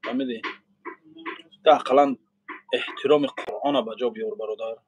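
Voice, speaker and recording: A man's voice: mid-range voice, moderate pace, breathy edge, poor audio. A man chatting casually and animatedly with his viewers, answering their messages and laughing often. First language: Tajik. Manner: animated, laughs often, switches between languages. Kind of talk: casual live chat.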